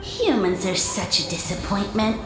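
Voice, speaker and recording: A woman's voice: medium pitch, animated, moderate pace, lightly acted. A woman voicing an arrogant, scornful mushroom sage lord in a video game, with a haughty, condescending and theatrical delivery. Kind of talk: scripted video game voice acting. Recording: echoing voice over music.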